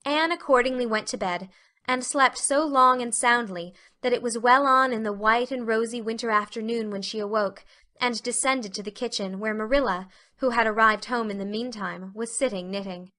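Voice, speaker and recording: A woman's voice: a little high, moderate pace, bright, forceful tone. A woman reading scripted literary narration as the narrator of an audiobook.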